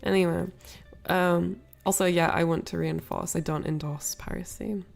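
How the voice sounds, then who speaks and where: A woman's voice: lowish voice, steady tone, moderate pace, vocal fry. A woman hosting a casual livestream, rambling and apologetic, sniffly with a cold as she chats to viewers. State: ill with a cold, sniffly, coughing. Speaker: livestream host.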